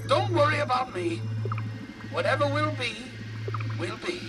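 A man's voice: extremely high pitch, lively intonation, unhurried, recorded from a distance, music in the background. A man playing an eccentric, fatherly older scientist in a video game, testy and wistful, with theatrical, wordy, old-fashioned phrasing. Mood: wistful, tender.

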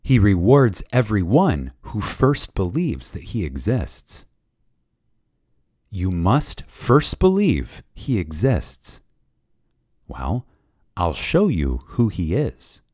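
A man reading a spiritual lecture dramatically, with reverence, emphasis and deliberate pauses. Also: fairly low pitch, huge pitch swings, mellow, dry recording, studio quality. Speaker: reader of a lecture.

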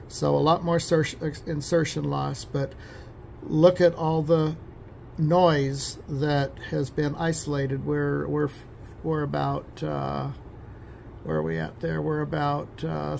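Male technician, very gravelly fry, informal halting explainer delivery, noticeable background noise. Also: on the high side, darkish tone. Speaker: radio technician giving a demonstration.

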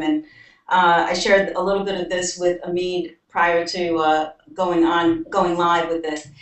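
American woman on a roomy, distant webcam. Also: on the low side. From New York, United States. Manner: talkative, explains at length. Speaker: author and interview guest. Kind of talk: casual video call interview. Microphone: webcam on a video call.

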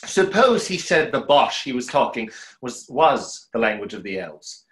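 Casting: wistful, self-doubting conjurer, played by a man, mid-pitched and animated, hesitant stage-play delivery, roomy sound. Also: unhurried. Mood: wistful, storytelling. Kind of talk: stage play read aloud over video call. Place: small home room, on a video call. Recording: roomy echo of a video call.

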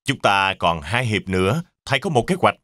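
A man's voice: lowish voice, very animated, normal speed, bright, forceful tone, no echo, studio quality. A man voicing an encouraging zebra sports coach in a cartoon, instructive and emphatic. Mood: urging.

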